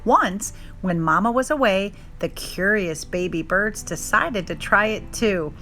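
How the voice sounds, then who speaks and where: A woman reading a children's story aloud in expressive narration with dramatic, deliberate emphasis. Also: mid-range voice, soft background music. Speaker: storybook reader.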